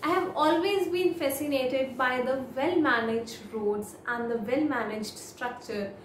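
A woman in her thirties with an Indian English accent, reading exam questions aloud and answering them as model replies, in steady, formal, rehearsed phrasing. She speaks close to a camera in a small room. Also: high voice, unhurried, echoey room, slight background noise. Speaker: IELTS speaking practice demonstrator.

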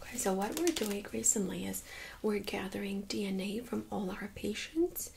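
A woman speaking in a soft, breathy near-whisper, gently giving instructions as a medical examiner in an ASMR role-play. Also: mid-range voice, animated, moderate pace, background noise. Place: small room indoors.

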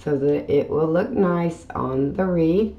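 A woman hosting a craft channel, talking through a tutorial in a casual voiceover with plain, unhurried step-by-step explaining. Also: sits low, mellow, lo-fi sound. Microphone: voiceover mic.

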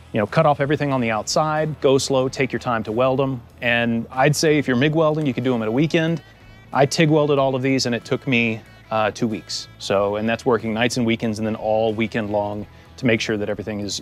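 Man casually explaining his truck build. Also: mid-range voice. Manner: explanatory, frequent fillers and restarts. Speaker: car builder and shop host. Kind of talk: casual how-to walkthrough. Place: outdoors under a truck, then a home garage.

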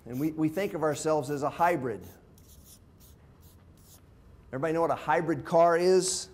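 A man's voice: on the high side, normal speed, a little mellow. An older man, around sixty, a pastor giving an informal, plain-spoken teaching talk to a group, explaining things step by step. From from abroad, visiting South Africa. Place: indoor classroom with whiteboard and screen. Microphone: lapel mic.

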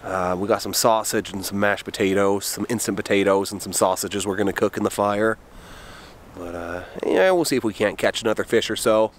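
A man in his forties giving a relaxed, rambling camp update outdoors, talking close to a handheld camera. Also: on the low side, brisk. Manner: relaxed, chatty, rambling with fillers. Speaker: backpacking vlogger. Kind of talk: casual outdoor vlog. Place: outdoors in a windy mountain forest camp. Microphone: camera held close. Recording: faint wind noise outdoors.

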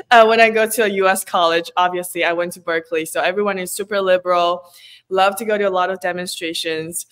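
A woman's voice: on the low side, fairly bright tone. A woman in her twenties from China, a guest chatting casually on a video call from her home, talking with many fillers and frequent laughs. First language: Chinese. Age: 20s. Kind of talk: casual interview chat. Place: home room with kitchen shelves. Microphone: video call, laptop camera.

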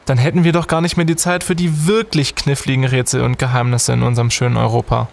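An actor playing a pompous, eager young student detective leader in an audio drama, with bookish wording. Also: male voice, medium pitch, flat delivery, fast, gravelly, close to an everyday voice. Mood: condescending, awkward.

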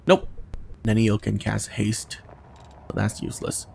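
A man's voice: lowish voice, measured pace, creaky, darkish tone, slight background noise. A man casually commenting on his video game run, with chatty and exasperated asides. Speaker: gaming channel host.

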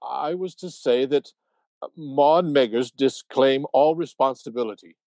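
A man's voice: medium pitch, moderate pace, creaky, mellow, no room sound, close to an everyday voice. A man acting a nervous, apologetic company messenger in a radio play, hesitant and formal.

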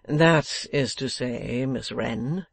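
A woman voicing a careless, courteous gentleman in a storybook, unhurried and coolly polite. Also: extremely low pitch, vocal fry, slightly rough, no echo, full character voice. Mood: languid, polite.

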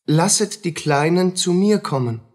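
Radio drama role: troubled, submissive male soldier, highish raspy voice, earnest and slow. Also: expressive, a little mellow. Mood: earnest, defensive. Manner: deferential, earnest, halting. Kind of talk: German radio play acting.

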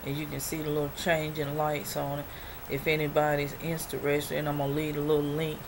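A woman's voice: low-pitched, completely flat delivery, a little fast. A woman in her forties showing off mail she received, chatty and rambling with many ums, her voice a little worn. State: losing her voice. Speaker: product review vlogger. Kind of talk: casual show-and-tell haul video. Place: small dim bedroom, indoors. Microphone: built-in webcam mic at arm's length. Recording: noisy webcam recording.

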